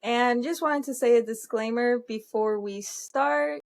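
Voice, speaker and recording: A woman's voice: on the high side, measured pace. A young woman from Puerto Rico, a Spanish speaker, talking earnestly as an interview guest with frequent fillers and self-corrections. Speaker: guest, industrial hygienist. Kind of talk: conversational interview answers.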